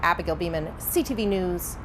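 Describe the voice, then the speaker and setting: A woman's voice: on the low side, fairly quick. A woman with a Canadian accent, a news reporter delivering an on-location report. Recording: noisy outdoor location sound.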